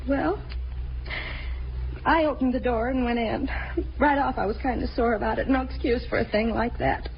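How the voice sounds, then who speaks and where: Muffled 1950s radio crime drama: actress plays a distraught, talkative cleaning lady, high voice, rambling working-class speech. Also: steady tone, normal speed, long pauses, noisy background, close to an everyday voice. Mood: rambling, indignant. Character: distraught, chatty cleaning woman who found a body.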